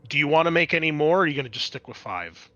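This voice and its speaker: A man runs a tabletop roleplaying game as its game master. His voice is bright and clear-cut in tone, and he explains things in a conversational, unscripted manner. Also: on the high side, animated, rapid-fire, no room sound, highs cut off, soft background music.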